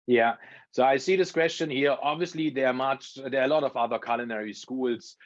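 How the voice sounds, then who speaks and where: A man speaking as a culinary school representative, explaining information in a measured, careful way during an interview-style talk. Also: mid-range voice, steady tone, dull audio. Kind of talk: informational interview answers at an education fair talk.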